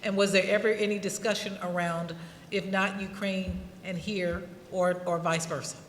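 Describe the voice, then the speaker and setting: A woman speaks as a competition judge with a medium pitch. She phrases encouragement and questions in a deliberate, wordy way. The hall is echoey and there is faint noise behind her. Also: flat delivery.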